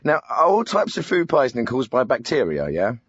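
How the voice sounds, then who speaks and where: Glib radio phone-in host, very up and down voice, dry studio sound, bit clueless. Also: male voice, medium pitch, huge pitch swings, a little fast, slightly rough, no echo, heavily acted. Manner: glib, breezy, interrupting. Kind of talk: spoof radio phone-in presenting.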